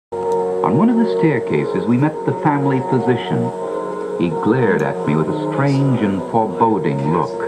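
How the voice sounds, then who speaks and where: Loud music plays under an old cassette recording of a gothic audio drama. a brooding gentleman narrator, uneasy and theatrical. His low voice rises and falls widely, with deliberate dramatic pauses. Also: low-quality recording. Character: gloomy gentleman narrator, uneasy boyhood friend visiting a decaying mansion. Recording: old cassette dub re-recorded through boombox mics.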